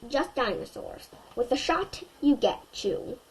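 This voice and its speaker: A woman's voice: sits high, sing-song, measured pace, low-quality recording. A woman voicing a friendly, matter-of-fact nurse in a children's storybook, kindly in manner.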